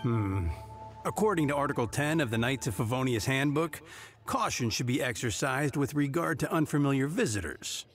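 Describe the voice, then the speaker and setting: Game role, male actor: stiff rule-bound city guard knight, expressive delivery, faint music underneath. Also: mid-range voice, moderate pace, lightly acted. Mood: suspicious. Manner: formal, stiff, deliberating. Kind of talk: scripted video game voice acting.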